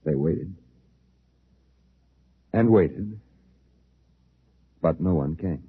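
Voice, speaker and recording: An actor playing a solemn storyteller who narrates a 1950s science fiction radio drama. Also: male voice, low voice, animated, very slow, husky, narrow, tinny audio, exaggerated voice. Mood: solemn, suspenseful. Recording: thin vintage radio recording with music.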